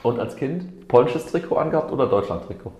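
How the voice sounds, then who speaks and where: A man's voice: mid-range voice, very animated, brisk, warm tone. A man working as an interviewer, asking questions in a casual, conversational way. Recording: roomy, echoing sound.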